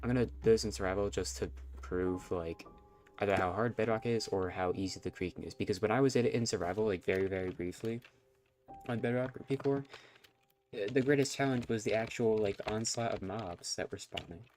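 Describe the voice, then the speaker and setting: A man's voice: on the low side, fairly quick, a little mellow, soft background music. A man with a nasal, stuffy voice, rambling and self-conscious as he chats through a live game stream. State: stuffy nose from allergies.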